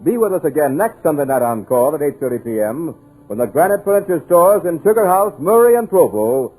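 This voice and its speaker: Casting: male 1940s radio announcer, formal and measured, slightly high husky voice, music underneath. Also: big pitch swings, darkish tone, rough recording. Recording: old radio recording, music behind.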